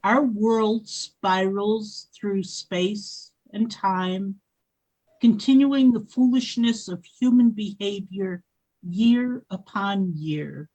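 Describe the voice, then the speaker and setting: A solemn, ageless female spirit from a radio play, played by a woman, who delivers each phrase with great deliberation. She speaks at a very unhurried crawl. Her voice sits at an ordinary middle pitch. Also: warm tone, poor audio. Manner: slow, solemn, measured pronouncements. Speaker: wise spirit. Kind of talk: 1940s-style radio drama acting.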